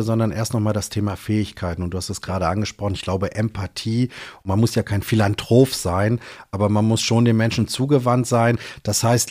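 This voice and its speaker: A man's voice: on the low side, darkish tone. Man asking informal interview questions. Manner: conversational, informal questions and affirming murmurs. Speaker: host, interviewer. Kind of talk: interview conversation.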